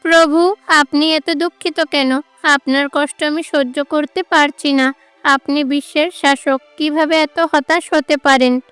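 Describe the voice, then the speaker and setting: A woman's voice: high voice, fairly even intonation, a little slow, a bit bright, no room sound, soft background music, voice pushed up. A woman voicing a small, loyal cartoon mouse who pleads and consoles with reverence. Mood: concerned, consoling. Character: small devoted mouse, loyal servant and mount of a god.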